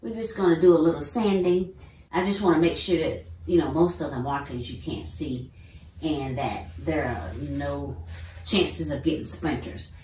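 A woman's voice: low-pitched, normal speed, dark tone. A middle-aged woman talking through a woodworking project step by step in her home workshop, chatty and casual, often saying "y'all". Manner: chatty, explains each step, says "y'all". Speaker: DIY woodworking creator. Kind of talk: casual how-to narration while building. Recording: echoey workshop room.

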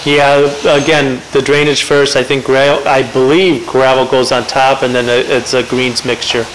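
A man giving halting, matter-of-fact explanations in an informal interview. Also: mid-range voice, little pitch movement, fairly bright tone, a little hiss or hum, poor audio. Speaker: interviewed golf course renovation expert.

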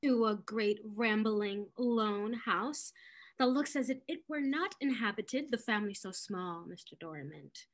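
A woman playing a witty, sharp-tongued young lady in a classical stage comedy, arch and mocking. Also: medium pitch, measured pace, lightly acted. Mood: wry, dramatic. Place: indoors at home, on a video call.

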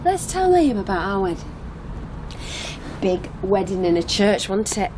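A woman's voice: very animated, a little slow. An actress playing a provocative wife who needles her husband in an insistent, teasing way, in a naturalistic radio drama. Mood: goading. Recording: noisy car interior, vehicle rumble. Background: moving vehicle noise.

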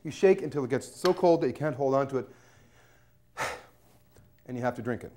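A man's voice: mid-range voice, brisk, mellow. A man in his thirties hosting a cooking show in a studio kitchen, cheeky and joking as he talks to camera. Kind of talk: jokey cooking demonstration.

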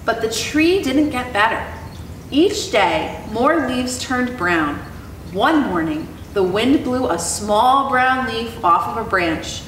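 A young woman reading a children's storybook aloud in an expressive, educator's style. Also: a little high, slow, a little hiss or hum. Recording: echoey room, clear background ambience.

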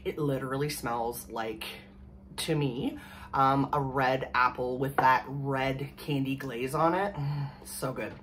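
A woman in her thirties from Canada, hoarse from a cold, chatting casually and rambling to the camera at home. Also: extremely low pitch, fairly even intonation, takes it slowly, slight background noise. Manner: rambling, chatty, laughs often. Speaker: vlogger. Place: small room at home, indoors. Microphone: camera in front at desk distance.

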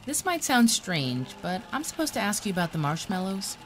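An actress playing a kindly, slightly awkward physics professor in a natural audio drama. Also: female voice, medium pitch, sing-song, normal speed, slight background noise, close to an everyday voice.

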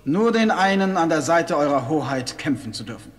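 A man's voice: a little high, animated, moderate pace, close to an everyday voice. A man as a formal officer in a reverberant hall. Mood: eager, deferential. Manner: formal, military reporting. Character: deferential, composed officer posing as courier. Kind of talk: German audio drama acting.

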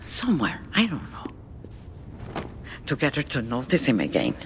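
An actor playing a fussy, indignant celebrity hanger-on in a video game, speaking in a huffy, melodramatic way. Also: male voice, mid-range voice, wildly swooping pitch, a little slow, fairly bright tone, a little hiss or hum, full character voice. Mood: dramatic, bitter. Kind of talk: video game cutscene acting.

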